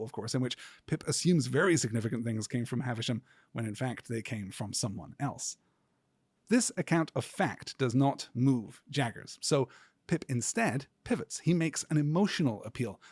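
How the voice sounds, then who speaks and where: Articulate man lecturing, slightly husky voice. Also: medium pitch, dry recording, clean studio sound. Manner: articulate, measured, earnest, academic. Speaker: podcast host. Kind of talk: scripted literary lecture.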